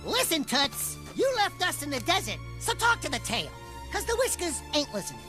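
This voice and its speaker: A mouthy little male animal sidekick from a video game. He is high-pitched and animated, brash and full of slangy wisecracks, with music behind him. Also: normal speed, full character voice. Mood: cocky. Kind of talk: cartoonish video game acting.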